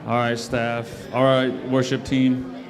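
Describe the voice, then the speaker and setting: A man's voice: medium pitch, completely flat delivery, slow pace, in a large hall, a crowd in the background. A man leading a church service, speaking casually and encouragingly to the congregation and repeating himself often. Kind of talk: addressing a congregation.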